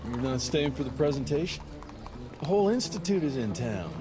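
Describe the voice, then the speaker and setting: An American cowboy sidekick played by a man speaks at a medium pitch. He is a younger monster hunter in a game, trading teasing, easygoing banter. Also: big pitch swings, a little fast, over music, close to an everyday voice. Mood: exasperated, friendly. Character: younger, teasing Old West vampire-hunting partner. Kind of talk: game cutscene acting.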